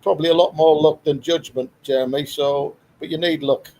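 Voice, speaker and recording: A man's voice: on the high side, steady tone, fairly quick, mellow. A middle-aged man from Guyana, a guest on a video-call interview, telling his story in a rambling way with fillers. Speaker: guest, storage business owner.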